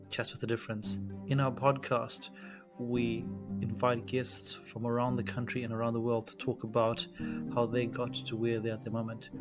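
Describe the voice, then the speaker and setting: A man reading a short podcast intro in a flat, level voice. Plucked guitar music plays clearly behind him. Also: medium pitch. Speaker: podcast co-host. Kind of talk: scripted show intro.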